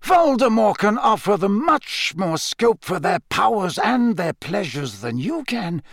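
An old wizard of great authority acted out by a male audiobook reader speaks with solemn urgency. The pitch is lifted and moves widely on stressed words, each phrase measured and emphatic. The storybook recording is close and dry. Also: dry recording, heavily acted. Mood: grave, firm. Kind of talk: audiobook character voice.

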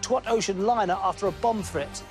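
A man hosting a TV quiz show, brisk and jokey, reading questions crisply. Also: high-pitched, soft background music.

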